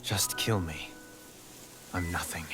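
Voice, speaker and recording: A man's voice: low-pitched, takes it slowly, loud music behind the voice. A man voicing a broken, self-loathing fallen nobleman in a video game drama, speaking in a formal, defeated manner. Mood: despairing.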